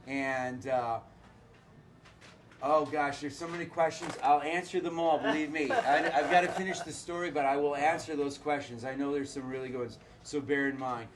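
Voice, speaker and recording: A hoarse middle-aged man, a winemaker, talking casually and haltingly with many filler uhs. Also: on the high side, fairly even intonation, noisy background. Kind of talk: casual chat.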